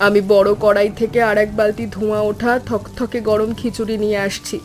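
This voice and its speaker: A woman playing a gentle, motherly village housewife in a cartoon story, caring and earnest in manner. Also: medium pitch, little pitch movement, brisk, lightly acted. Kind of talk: animated story dialogue.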